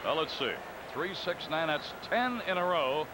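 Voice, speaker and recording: A man calling baseball play-by-play in a calm, conversational way, reading out stats between pitches. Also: unhurried. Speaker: play-by-play announcer. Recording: broadcast booth over loud crowd noise. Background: stadium crowd ambience.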